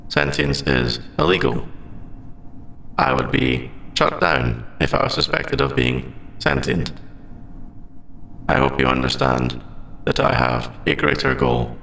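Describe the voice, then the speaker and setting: ASMR drama role: male AI android performed by a man, heavy vocal fry, varied pitch, formal and deliberate, faint noise behind. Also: fairly bright tone, exaggerated voice, low-quality recording. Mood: deadpan. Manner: stilted, precise, deliberate robotic phrasing. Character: formal, logical male android AI, secretly sentient and earnest. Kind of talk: scripted ASMR audio roleplay.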